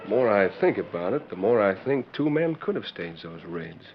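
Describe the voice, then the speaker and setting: An actor playing an earnest, henpecked frontier deputy with a rural Western American accent and folksy, hesitant manner in a 1940s radio western. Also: male voice, fairly low pitch, sing-song, normal speed, soft background music. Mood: thoughtful. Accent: rural American West. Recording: dull old 1940s radio recording.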